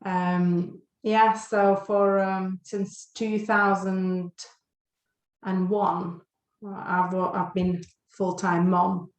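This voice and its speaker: A woman's voice: medium pitch, little pitch movement, very slow, echoey room. A woman in her forties from Lithuania, a guest on a podcast interview, talking with many fillers and restarts. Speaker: guest, health and well-being coach.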